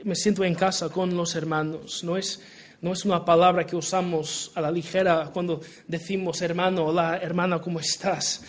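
Man preaching in Spanish with a foreign accent. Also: on the high side. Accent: Portuguese and American in Spanish. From Portugal. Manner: earnest, halting with restarts. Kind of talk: sermon, Bible reading and prayer.

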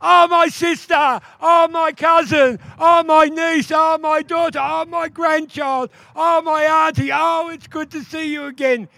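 A man voicing a female duck woman from a folk tale, calling out in a high, loud, drawn-out voice. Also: expressive, dry recording. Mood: overjoyed. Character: excited female duck woman greeting her kin.

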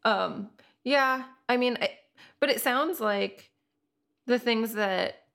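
A woman's voice: on the high side, slow, creaky, a bit bright. A woman co-hosting a podcast, talking in a supportive, reflective way and agreeing often. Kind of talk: casual podcast chat.

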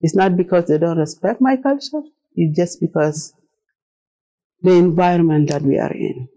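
South Sudanese-accented woman with a mellow voice. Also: fairly low pitch, moderate pace, low-quality recording. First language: Arabic and Dinka. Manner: plain, earnest, non-native English phrasing. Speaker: speaker telling her own story. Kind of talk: personal presentation to listeners.

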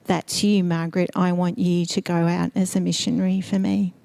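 A middle-aged woman from Eastern Europe, raised in Australia, telling her story as a missionary nurse in a church interview, earnest and thoughtful with gentle laughs. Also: lowish voice, flat delivery, moderate pace, gravelly. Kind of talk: church interview, personal testimony. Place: church sanctuary.

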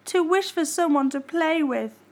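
Woman voicing an insistent, high-pitched grumpy storybook bear. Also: normal speed. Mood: sad, tearful. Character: grumpy, wistful male bear longing for playmates.

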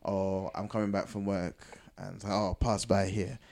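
A man's voice: low-pitched, little pitch movement, moderate pace, gravelly, darkish tone. A man on a podcast, chatting casually and explaining himself with everyday examples.

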